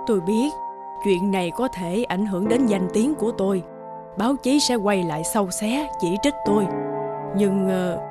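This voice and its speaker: Casting: actress as elderly female artist, stern and weighty, measured cartoon drama delivery over a score. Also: mid-range voice, over loud music, lightly acted. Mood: remorseful, resolute. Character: solemn, judgmental elderly woman painter. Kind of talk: dubbed cartoon drama acting.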